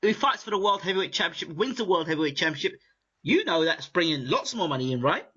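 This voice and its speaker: British man, high lively voice, bright, interviewer, muffled lo-fi sound. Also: fast, slightly rough. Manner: excitable, talks in long build-ups. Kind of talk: animated interview chat. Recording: muffled lo-fi audio.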